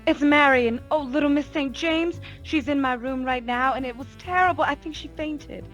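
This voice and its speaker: A woman's voice: high voice, moderate pace, a bit bright, dull audio, faint music behind. A woman playing a melodramatic, pleading widow, a femme fatale in a 1920s hard-boiled radio play. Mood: alarmed. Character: breathless, frightened, dramatic young widow, a 1920s femme fatale.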